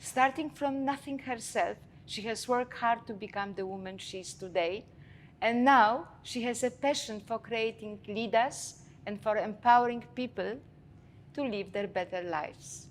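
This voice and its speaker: A woman's voice: on the high side, a little slow. Woman hosting a talk show, polished formal presenter voice, faint hum in the background. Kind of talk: hosted interview with scripted intro.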